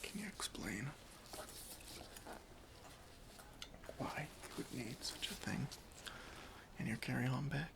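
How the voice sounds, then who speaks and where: An officious chief security officer acted out by a man in an ASMR roleplay. The voice is whispered and creaky, with pitch that swings up and down. The questioning is deliberate and mock-stern, yet polite and open to persuasion. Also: sing-song. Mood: stern, suspicious. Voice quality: whispery, breathy.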